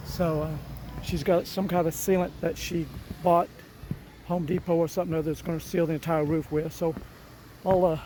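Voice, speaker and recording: A man, his voice rough from a cold, casually narrating his DIY roof work outdoors in a folksy, rambling way. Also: fairly high pitch, mellow, slight background noise, low-quality recording. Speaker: DIY vlogger. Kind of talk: casual narration while working.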